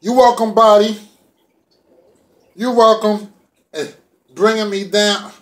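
A man's voice: sits high, steady tone, slow pace. A middle-aged man vlogging about vegan food, talking to the camera in a laid-back, playful, colloquial way as he eats. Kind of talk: casual chat to camera while eating. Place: small room indoors at home. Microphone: phone or camera propped within arm's reach.

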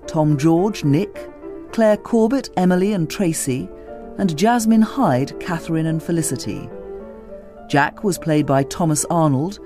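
Mellow radio announcer. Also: male voice, a little high. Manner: even, formal announcing. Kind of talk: scripted radio cast credits.

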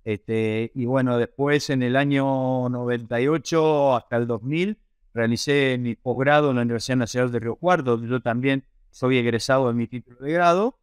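A man's voice: medium pitch, moderate pace, clean tone, dry recording, studio quality. An older man with an Argentine accent, explaining his work as an interview guest in a conversational way. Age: late 50s to 60s. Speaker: interview guest, pig production researcher and lecturer. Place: small indoor office on a video call. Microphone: wired earphone headset.

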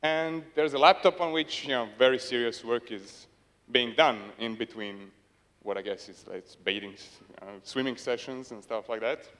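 A man's voice: mid-range voice, moderate pace, fairly bright tone. A young man presenting on a conference stage with a handheld mic, dryly humorous and hesitant, with plenty of fillers. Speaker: conference speaker. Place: large conference hall stage. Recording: long hall reverb.

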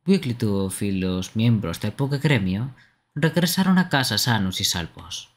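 A man's voice: on the low side, expressive, unhurried. A man streaming a video game, reading its text aloud and commenting on it. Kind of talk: live game dubbing and commentary. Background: game music.

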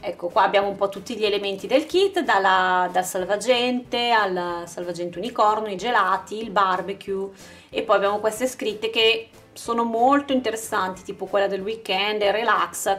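A woman with a mid-pitched voice narrates a craft unboxing in a casual, informal style. She presents things item by item as the channel's host. Music plays behind her voice. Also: normal speed, fairly bright tone. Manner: informal, showing items one by one. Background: background music.

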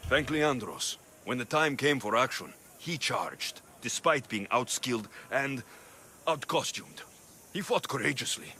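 An actor playing a bold, dry-witted mercenary hero with a terse, assertive manner in a video game cutscene. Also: male voice, mid-range voice, lively intonation, a little slow, sharp tone, a little hiss or hum. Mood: firm.

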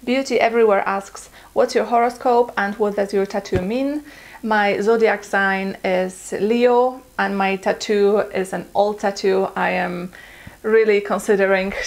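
A woman's voice: medium pitch, gravelly. A Polish woman in her twenties with a Polish accent, answering viewer questions in a casual vlog from her living room, chatty and laughing often, with plenty of ums and uhs. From Poland. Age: 20s. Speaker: vlogger.

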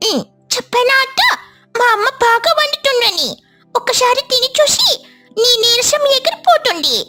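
A chirpy little child sparrow from a cartoon fable. The voice sits very high and childlike, eager to help and pleading with bright-eyed enthusiasm, over light background music. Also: female voice, sing-song, normal speed, heavily acted. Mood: proud.

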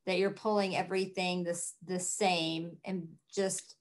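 A middle-aged woman farmer giving casual, halting interview answers over a video call from a room at home. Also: lowish voice, flat delivery. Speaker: farmer, guest. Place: small wood-panelled room at home. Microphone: computer mic on a video call.

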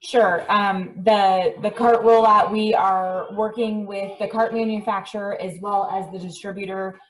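Distant, even-toned woman on a remote call. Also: medium pitch, measured pace. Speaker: waste agency staff member. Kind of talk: explaining plans in a remote public meeting. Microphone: phone or video call.

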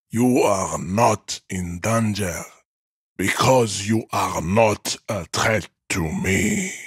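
An actor voicing a huge, arrogant supremacist strongman in a video game, pompous and contemptuous, with deliberate enunciation and a husky voice. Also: fairly low pitch, a bit bright, no echo, studio quality, heavily acted. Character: huge, arrogant, pseudo-scientific supremacist strongman guarding a bridge. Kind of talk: video game character voice acting.

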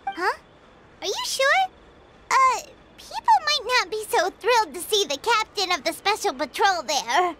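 A woman's voice: very high voice, big pitch swings, a little slow, heavy background noise, heavily acted. A woman voicing a tiny, curious, childlike floating girl companion in a video game, perky and questioning. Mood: doubtful. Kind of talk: video game dialogue acting.

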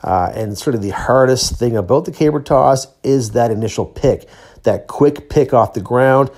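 A man's voice: medium pitch, moderate pace, a little mellow. A middle-aged man giving a casual, plain-spoken voice-over of training footage, explaining as he goes. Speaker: athlete and training vlogger.

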